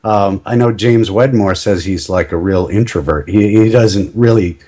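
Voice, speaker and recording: A middle-aged man with a slightly low voice, chatting as a podcast guest. He is talkative and self-deprecating and laughs at his own jokes. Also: moderate pace, darkish tone. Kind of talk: casual interview chat.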